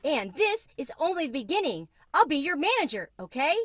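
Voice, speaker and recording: A woman voicing an excitable, bossy, scheming male mouse with a squeaky, urgent delivery for a storybook. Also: a little fast, no echo, studio quality. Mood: excited, gleeful. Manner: urgent, enthusiastic.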